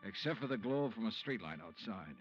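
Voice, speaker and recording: An actor playing a cool, wry, hardboiled investigator in an old-time radio detective drama. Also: male voice, on the low side, expressive, brisk, natural voice. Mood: brooding narration. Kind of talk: old-time radio detective acting and first-person narration. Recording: muffled old radio recording with music.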